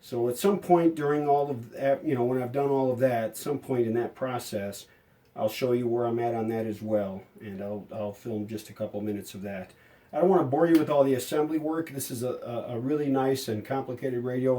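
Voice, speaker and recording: A man giving a casual, hands-on workshop explanation as a hobbyist restorer, haltingly thinking aloud as he works. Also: mid-range voice, warm tone. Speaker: hobbyist radio restorer and video maker. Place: indoor home workshop at a workbench.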